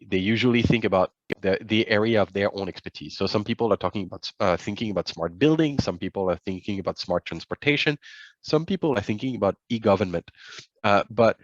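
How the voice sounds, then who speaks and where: Halting, deep-voiced man presenting slides. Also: no echo. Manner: halting, many fillers and restarts. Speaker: webinar presenter. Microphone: video call. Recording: dull, dry lo-fi webinar audio.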